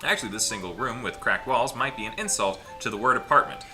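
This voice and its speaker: A mid-pitched narrator reads visual novel text aloud for a casual gaming video. The delivery is playful and dramatic, broken by stumbles and wry asides. Music plays clearly behind the voice. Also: quick pace, pushed and bright.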